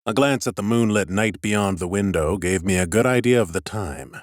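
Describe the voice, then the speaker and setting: An actor playing a haughty, dry-witted scholarly lich in an audiobook, with a pompous, formal, deadpan manner. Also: male voice, fairly low pitch, moderate pace, no echo, lightly acted. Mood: dry, measured. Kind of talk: scripted first-person audiobook narration and dialogue.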